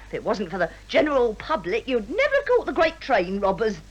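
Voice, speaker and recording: A woman's voice: a little high, wildly swooping pitch, a little fast, muffled recording. An actress playing a saucy, gossipy middle-aged English cleaning woman in a British radio drama, chatty and teasing. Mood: gossipy, cheeky. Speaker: actor in a radio play.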